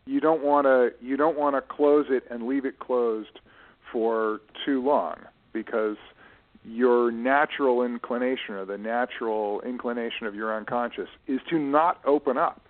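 A narrow, phone-like sound frames this middle-aged man's mid-pitched voice. He talks as an interview guest in a reflective, conversational way. His wording is measured and precise, with pauses as he finds it. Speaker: interview guest, writer and publishing professional. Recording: narrow, muffled phone-like audio.

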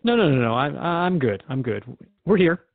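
A man's voice: on the high side, sing-song, normal speed, gravelly, no room sound. A man from Canada playing a skeptical, slightly flustered radio host in a drama, hesitant and conversational as he questions his guest. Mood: defensive, conversational. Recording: thin phone-like band-limited audio.

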